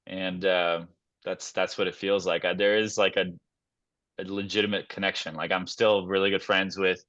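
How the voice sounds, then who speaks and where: Young man telling relaxed stories, low-pitched. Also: moderate pace, dry recording, dull audio. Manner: relaxed storyteller, many fillers and restarts. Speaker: podcast guest, actor. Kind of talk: casual podcast chat.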